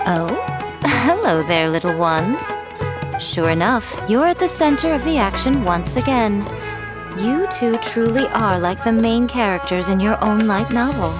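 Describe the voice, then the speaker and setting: A woman's voice: on the high side, animated, unhurried, music in the background. A woman voicing a sly, elegant, self-assured lady in an anime game, poised and playfully teasing. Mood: amused, teasing.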